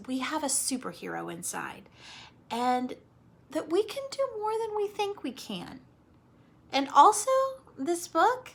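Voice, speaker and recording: Slightly husky woman reading aloud to children. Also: a little high. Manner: expressive and coaxing, addresses children directly with frequent questions. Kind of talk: children's read-aloud lesson, explaining words simply.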